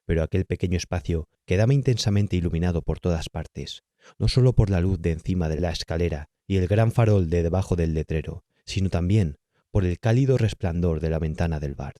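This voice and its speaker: Deep, mellow Castilian man narrating. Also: a little fast, no room sound, studio quality. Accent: Castilian Spanish. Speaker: audiobook narrator. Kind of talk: scripted literary narration of a horror tale. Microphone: close, dry studio-style microphone.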